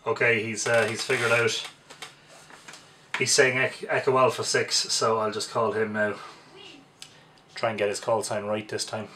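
An Irish man in his forties giving unhurried live commentary as he operates a radio at home, muttering to himself as he works. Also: on the low side, little pitch movement. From Ireland. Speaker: amateur radio operator demonstrating. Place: small home radio room, indoors. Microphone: camera a couple of metres away. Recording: distant camera mic, loud background hiss.

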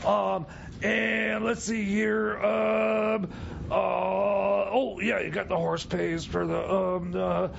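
A man's voice: high-pitched, level tone, slow pace, gravelly, full character voice. A man playing a frazzled, bumbling buddy on a prison visit in comedy radio theater, stammering and breaking off sentences. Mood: nervous, scattered. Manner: stammering, hesitant, scattered, constant broken-off starts. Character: Jeb, frazzled bumbling male friend visiting jailed pal. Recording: muffled lo-fi audio with loud noise.

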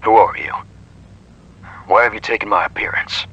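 A man playing a hard-bitten, world-weary investigator in an analog horror drama, gruff and terse. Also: on the low side, normal speed, bright, forceful tone, muffled recording, exaggerated voice. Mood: alarmed.

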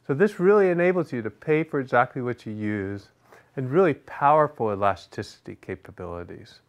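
A middle-aged man gives a scripted product explainer from a studio set. His delivery is steady and instructive, with rhetorical check-ins between points. The sound is clean and close, as from a lapel mic. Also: medium pitch, fairly quick, muffled recording. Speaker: product management executive presenting. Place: studio with large screen behind.

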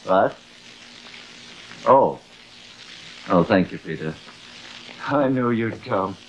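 Haunted, declamatory stage actor. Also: male voice, on the low side, animated, extremely slow. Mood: distracted, sardonic. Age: middle-aged. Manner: declamatory, dramatic. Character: haunted, grandiose middle-aged stage actor, tormented by guilt. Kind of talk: theatrical 1950s television acting. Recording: muffled, hissy old television soundtrack.

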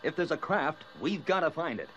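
Alert, animated crewman, noisy soundtrack. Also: fairly high pitch, normal speed. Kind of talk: 1960s puppet adventure acting. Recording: noisy dull old soundtrack.

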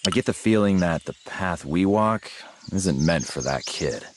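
A brooding mentor in a game cutscene, with a low voice that moves expressively up and down. He is cautious and pensive, and there is clear noise behind him. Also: lively intonation, unhurried. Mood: pensive, conflicted. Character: brooding, cautious martial-arts mentor, adult man. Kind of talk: dramatic game cutscene acting.

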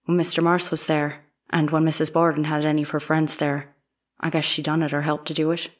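A woman's voice: sits low, flat delivery, fairly quick. A woman playing a plain, guarded young domestic servant testifying in a dramatic trial reading, giving terse, deferential answers. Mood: plain, unsure.

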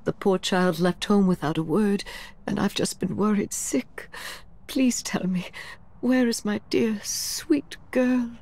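A woman playing a middle-aged, manipulative mother in an animated game, with a dramatic, honeyed delivery. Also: medium pitch, slow. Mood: falsely sweet. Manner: honeyed, dramatic, swooping into breathy hush. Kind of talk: animated game cutscene acting.

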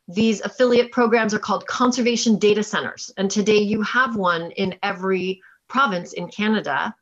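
A woman scientist giving a keynote presentation in a measured, explanatory way over a video call. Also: medium pitch, a bit bright, highs cut off. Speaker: keynote speaker and chief scientist. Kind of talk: conference presentation with slides.

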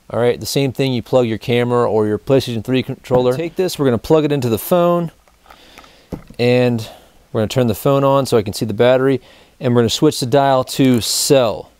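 A man's voice: mid-range voice, brisk, darkish tone, clear, resonant tone, no room sound. A man in his thirties giving an informal, colloquial product demonstration to camera as the host of a gear review show. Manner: informal, colloquial, addressing viewers as guys. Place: indoor studio with backdrop, dry sound.

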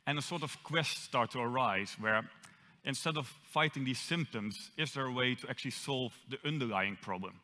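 A man's voice: medium pitch, pushed and bright. A young man from the Netherlands with a Dutch accent, giving a conference talk on stage with light self-deprecating humor. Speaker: conference speaker. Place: large auditorium stage. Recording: reverberant hall.

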